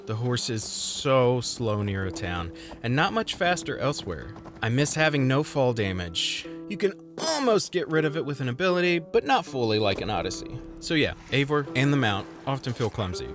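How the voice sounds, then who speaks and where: A man with a mid-pitched voice narrates a scripted video game review. His delivery is wry, with a touch of theatrical flourish. Music plays clearly behind him. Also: big pitch swings, moderate pace, few pauses.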